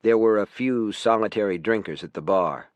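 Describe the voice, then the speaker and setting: A man reading a nonfiction audiobook in a measured, clearly phrased scripted narration. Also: on the low side, no room sound, highs cut off.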